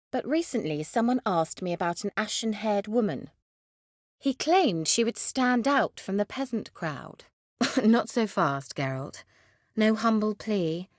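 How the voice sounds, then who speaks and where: A woman's voice: mid-range voice, animated, a little slow, no room sound, clean studio sound, lightly acted. A woman playing a haughty, sly sorceress in a fantasy video game, articulate, wry and teasing. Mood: probing, teasing.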